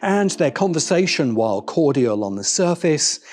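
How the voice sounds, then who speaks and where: Male essay narrator, lively varied pitch, deliberate phrasing, dramatic pauses, music underneath. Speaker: host and narrator of video essays. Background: background music.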